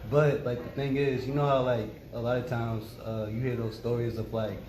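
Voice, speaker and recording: A man's voice: medium pitch, moderate pace. A man in his twenties leading a casual youth discussion, talking loosely with lots of fillers. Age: 20s. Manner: relaxed, filler-heavy, thinking aloud. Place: indoor meeting room at a ministry event. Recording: echoey room with crowd murmur.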